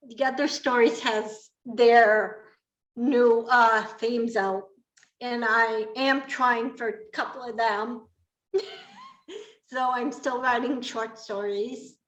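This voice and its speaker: Woman on a group call, talks really slow and halting, roomy echoey audio. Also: on the high side, fairly bright tone. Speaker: short story writer, podcast guest. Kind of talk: casual, halting group chat.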